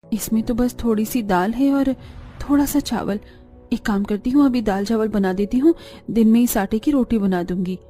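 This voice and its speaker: A woman playing a gentle, high-voiced poor mother. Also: normal speed, lightly acted. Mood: caring. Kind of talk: Hindi moral cartoon story dialogue. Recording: background music under the voice.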